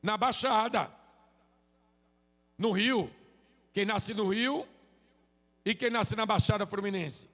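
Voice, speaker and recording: A man, preaching a relaxed, joking sermon and prompting the congregation to answer. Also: sits high, takes it slowly, long pauses, big-room sound. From Sergipe, Brazil. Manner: joking, chatty, drops "amém?" tags. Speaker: visiting preacher. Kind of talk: informal sermon with crowd call-and-response. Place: church sanctuary.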